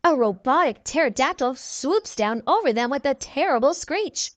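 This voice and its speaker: A woman reading a children's storybook aloud in animated narration. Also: high-pitched, moderate pace, no echo.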